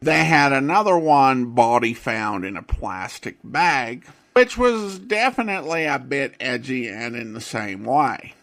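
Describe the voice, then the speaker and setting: A man hosting a podcast, giving commentary in a deliberate, emphatic way with pauses between phrases. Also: medium pitch, animated, a bit bright. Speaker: podcast host.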